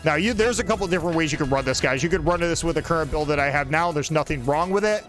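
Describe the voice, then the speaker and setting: A fairly high-pitched man in his forties talks through a match in a loose, rambling way, now and then joking with a friend. Soft game music plays faintly behind him. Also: fast. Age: 40s. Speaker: gaming channel host. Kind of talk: casual gameplay commentary.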